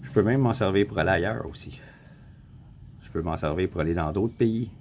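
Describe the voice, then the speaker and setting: A man with a Québécois accent, casually walking viewers through a map on his screen, rambling, repeating himself and clearing his throat often. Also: low-pitched, fairly quick, darkish tone, slight background noise. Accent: Québécois French. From Quebec. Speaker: vlogger. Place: home in the Philippines.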